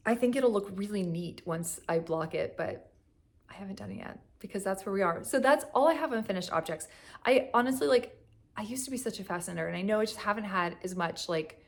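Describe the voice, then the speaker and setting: A young woman, slightly hoarse from a cough, chatting casually at home about her knitting, rambling with lots of fillers and little laughs. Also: fairly low pitch. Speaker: knitting vlogger. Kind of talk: casual chat. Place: at home on a couch.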